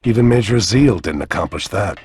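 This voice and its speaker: An actor playing a commanding military villain in a video game, deliberate and approving with an undertone of menace. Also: male voice, on the low side, lively intonation, a little mellow, a little hiss or hum, natural voice. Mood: calculating. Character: commanding male military villain, smooth-talking recruiter.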